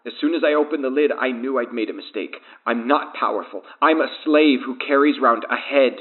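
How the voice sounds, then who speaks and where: A man's voice: medium pitch, brisk, natural voice. An actor playing a doomed, guilt-ridden man enslaved by an evil power, confessing fatalistically in an audio horror drama. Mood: anguished, desperate. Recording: tinny, band-limited phone line.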